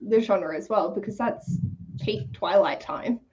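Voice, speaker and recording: A woman's voice: mid-range voice, measured pace, a little mellow, highs cut off, slight background noise. A woman speaking informally as a co-host in a casual book discussion.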